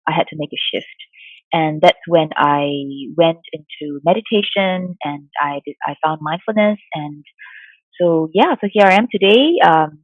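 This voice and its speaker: A woman from Singapore in her forties, a mindfulness coach answering interview questions in a soft, thoughtful way with many fillers. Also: deep voice, normal speed, dry recording. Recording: dull lo-fi sound, dry.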